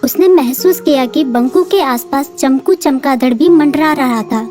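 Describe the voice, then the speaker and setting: A woman narrating a cartoon story in a calm, even storytelling voice. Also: high voice.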